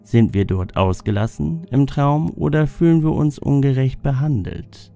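A man reading scripted explanatory narration in a measured, solemn manner. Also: mid-range voice, gravelly, mellow. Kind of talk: scripted narration of a dream-symbol lexicon. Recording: music bed under the voice. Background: background music.

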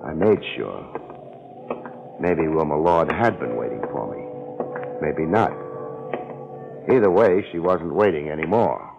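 A man's voice: very deep voice, lively intonation, slow, vocal fry, pauses often, over music, full character voice. A man playing a sardonic, wisecracking private detective in a 1950s radio drama, speaking in terse, clipped sentences. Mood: brooding, terse. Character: hard-boiled wisecracking male private detective. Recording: muffled vintage 1950s radio recording.

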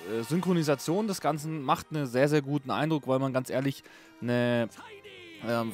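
A man hosting a casual, unscripted video game review in a chatty, informal way. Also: medium pitch. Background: music under a voice-over.

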